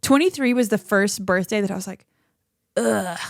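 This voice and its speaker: A woman's voice: medium pitch, normal speed, creaky, dry recording, studio quality. A woman in her thirties, a podcast guest, chatting casually and laughing often. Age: 30s. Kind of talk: casual chat.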